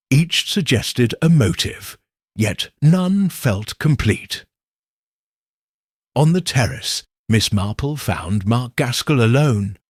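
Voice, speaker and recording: A man reading a mystery story as scripted narration in a measured storytelling manner. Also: medium pitch, husky, no room sound, studio quality. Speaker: audiobook narrator.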